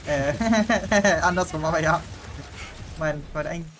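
A chatty German man talks through a co-op game round, voice sitting a little high for a man. He laughs easily and drops quick asides while he plays. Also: steady tone, crowd noise. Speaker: gaming channel host. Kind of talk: casual gameplay chat.

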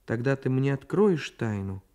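A man's voice: mid-range voice, big pitch swings, normal speed, warm tone, close to an everyday voice. A man voicing a curious, coquettish young girl with a timid, coaxing way of speaking in a radio story reading. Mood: reluctant.

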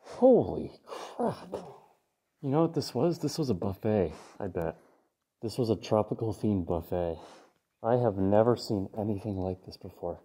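A man exploring a moldy abandoned building, talking casually in hushed, awed remarks. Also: on the low side, lively intonation, unhurried, breathy edge. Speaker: urban explorer. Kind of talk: casual on-location commentary.

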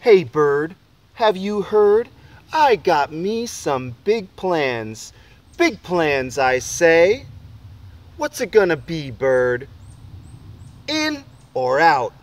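A man voicing a bossy, boastful little boy from a storybook, proclaiming loudly and emphatically. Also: a little high, sing-song, extremely slow, exaggerated voice. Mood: boastful, commanding.

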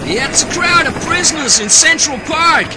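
An actress playing a military helicopter pilot in an audio drama, reporting in clipped radio protocol. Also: female voice, high voice, lively intonation, normal speed. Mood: businesslike, alert. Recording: loud vehicle noise behind.

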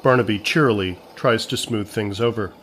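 A man's voice: on the low side, moderate pace, a bit bright, a little hiss or hum. A man reading scripted narration as the narrator of an audio comedy.

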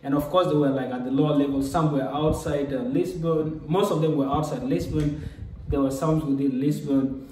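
A man's voice: on the high side, moderate pace, mellow, far from the mic, a little hiss or hum. A young man from South Sudan telling a personal story to camera in a loose, rambling way, with frequent fillers. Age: young adult. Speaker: vlogger. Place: small room indoors. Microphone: camera at desk distance. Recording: echoey room, mic set back.

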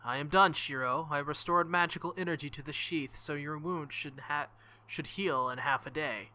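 Man voicing a bright-voiced, formal young female knight. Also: a little high, moderate pace. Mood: solemn, explaining.

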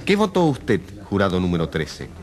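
Animated courtroom radio reporter. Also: male voice, low voice, moderate pace. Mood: urgent, expectant. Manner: animated, urgent announcer delivery. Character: eager male radio reporter covering a trial live. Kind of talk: live broadcast commentary in radio drama. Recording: crowd murmur behind.

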